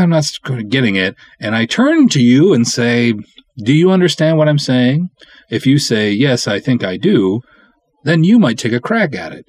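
Low-voiced podcast guy ranting candidly, bit raspy, blunt and opinionated, really dry close sound. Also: dry recording. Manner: blunt, opinionated, emphatic, acts out imagined dialogue. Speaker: podcast host, independent film writer-director. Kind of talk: candid solo podcast monologue giving advice.

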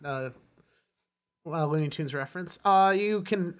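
A man with a fairly high, bright voice co-hosts a film podcast. He chats casually and speaks bluntly, like someone among friends. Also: unhurried. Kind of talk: casual chat.

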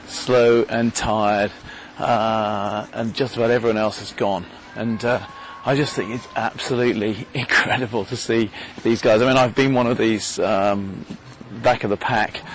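A man talks off the cuff into a handheld recorder outdoors, his voice in the middle of the range. He wanders, stops and restarts, fills gaps with "uh" and "um". Faint outdoor noise sits behind him. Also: medium pitch. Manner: rambling, hesitant, many fillers and restarts. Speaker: podcast host. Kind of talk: casual on-the-spot commentary and interviews. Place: outdoors at a race lakeside.

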